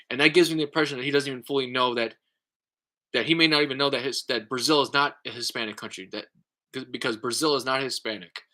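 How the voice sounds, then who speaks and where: A man's voice: medium pitch, pushed and bright. A man talking casually in a response vlog, thinking aloud with many fillers and restarts. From United States. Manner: halting, lots of fillers and restarts. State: tired. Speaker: YouTube creator.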